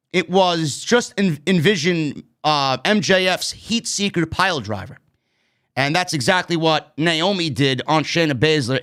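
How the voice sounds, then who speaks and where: A man in his late thirties with a New York accent, hosting a casual wrestling review show, blunt and animated, speaking into a studio microphone. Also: a little high. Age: 30s. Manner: animated, gestures a lot, blunt and swearing. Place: streaming studio with neon bar backdrop. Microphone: broadcast mic on stand, headphones.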